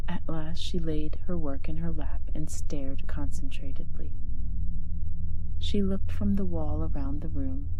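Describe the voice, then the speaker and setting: A woman reading a classic horror story as whispered ASMR, in a hushed, deliberate, literary delivery. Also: low-pitched, noisy background. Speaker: story narrator.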